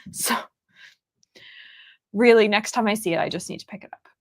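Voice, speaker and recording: A woman hosting a casual livestream chat over a video call, relaxed and rambling. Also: mid-range voice, normal speed, no echo, studio quality. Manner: relaxed and rambling, with frequent fillers.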